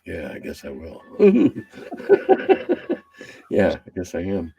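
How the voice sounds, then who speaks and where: A man's voice: huge pitch swings, slow, vocal fry, breathy edge, soft background music. A man in his seventies, a game designer chatting bluntly and ramblingly as an interview guest. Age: 70s. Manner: blunt, rambling, drops low and quiet as he winds down. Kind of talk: casual interview chat.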